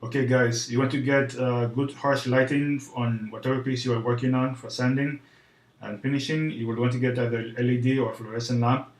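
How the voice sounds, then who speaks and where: A man putting on a French accent as he presents an informal how-to tutorial in a hesitant, halting way. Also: mid-range voice, flat delivery. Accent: put-on French. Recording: roomy echo, faint background noise.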